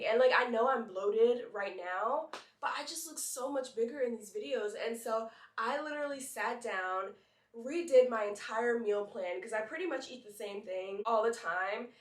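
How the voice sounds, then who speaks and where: A young woman with a somewhat high, nasal voice from a cold, chatting to her vlog camera and dropping "like" all through. She sounds a little distant from the mic, and her voice rings in an echoey room. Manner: chatty, leans on "like" fillers. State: congested from a cold. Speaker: vlogger and model. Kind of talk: casual chat to camera.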